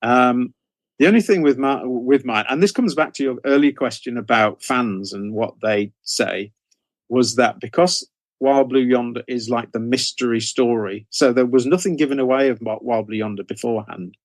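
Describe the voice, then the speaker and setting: A man's voice: medium pitch, moderate pace, dry recording. A middle-aged man with a British accent, telling anecdotes as an interview guest in a rambling, self-interrupting way.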